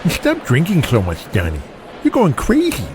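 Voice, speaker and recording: A man voicing a dismissive, scolding wrestling boss in an audio drama, with exasperated, brisk delivery. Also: on the high side, huge pitch swings, pitched above the performer's own voice.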